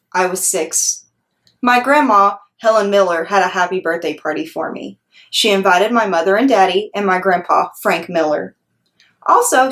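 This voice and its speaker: A woman voicing a chatty, excitable six-year-old girl telling her storybook tale in an emphatic, blunt, childlike way. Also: mid-range voice, lightly acted. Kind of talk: children's storybook read-aloud.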